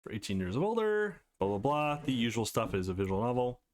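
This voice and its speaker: Casual man with lively, swinging intonation. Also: mid-range voice, fast. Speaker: let's player host. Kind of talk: casual let's play commentary, reading game text aloud.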